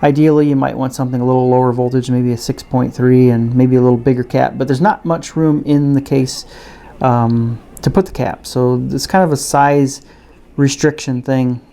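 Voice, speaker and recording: A man giving a casual, unscripted product review and demonstration in a halting, hesitant way. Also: mid-range voice, steady tone, dark tone. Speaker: gadget reviewer.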